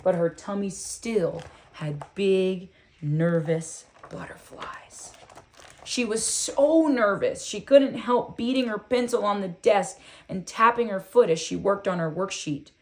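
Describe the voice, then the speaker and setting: A woman reading a children's book aloud in an expressive, deliberate storytelling style with emphatic phrasing. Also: medium pitch, slight background noise.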